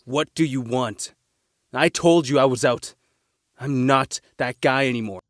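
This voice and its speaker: A man's voice: mid-range voice, measured pace, no room sound, clean studio sound, lightly acted. A man playing an earnest, guilt-ridden teenage son in a naturalistic audio drama. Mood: firm, hurt.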